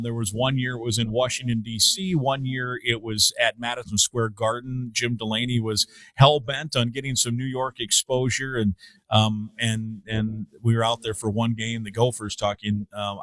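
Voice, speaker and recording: A man's voice: mid-range voice, little pitch movement, moderate pace, a bit bright, no room sound, rough recording. Thoughtful male sports broadcaster. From Iowa. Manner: thoughtful, many fillers and restarts. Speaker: radio play-by-play broadcaster, guest. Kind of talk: casual sports talk.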